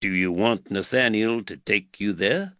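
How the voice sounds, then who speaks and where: A man voicing a courteous, calm husband with an American drawl and clear diction in a storybook. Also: lowish voice, a little slow, no room sound, clean studio sound. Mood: courteous, amused. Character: calm, courteous, slight bespectacled foreign husband.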